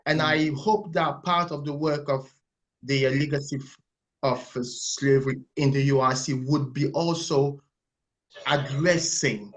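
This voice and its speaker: This is a man from Cameroon joining a webinar over a video call. His voice sits slightly high, and he talks in a careful, hesitant way, breaking off and restarting words as he builds his point. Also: poor audio. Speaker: webinar participant. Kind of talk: online discussion contribution.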